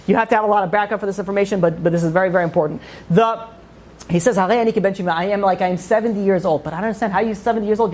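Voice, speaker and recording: A woman teaches a religious class at a rapid clip. Her pitch sits in an average range for a woman. She is emphatic and informal, firing questions at the group and then answering them herself. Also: darkish tone.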